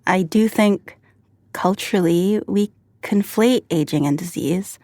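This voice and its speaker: A woman co-hosting a podcast, explaining things in a measured way. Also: medium pitch, mellow, no echo, studio quality. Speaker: staff writer and co-host. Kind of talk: podcast conversation.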